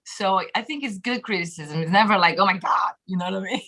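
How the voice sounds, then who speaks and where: Brazilian-accented woman in her thirties, guest on a video call, bright lively tone, chatty with lots of fillers and laughs. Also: mid-range voice, fast. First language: Portuguese. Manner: animated, laughs often, many fillers. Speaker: guest musician. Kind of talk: casual interview chat. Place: bedroom home studio, indoors. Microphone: webcam video call.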